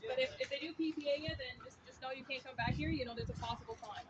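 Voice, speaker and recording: Quick, high-voiced woman police officer. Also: lo-fi sound. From Canada. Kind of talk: conversational explaining.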